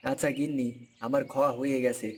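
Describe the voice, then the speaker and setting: A man's voice: medium pitch, flat delivery, quick pace, darkish tone, a little hiss or hum, lightly acted. A man playing a hard-up, grumbling but affectionate village farmer and family man in a cartoon folk tale. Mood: affectionate, everyday.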